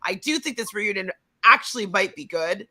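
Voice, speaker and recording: Bright-voiced woman, chatty recap host. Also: mid-range voice, expressive, normal speed. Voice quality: slightly raspy voice right now. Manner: chatty, rambling, reads out viewer comments. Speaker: podcast co-host. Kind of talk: casual recap chat.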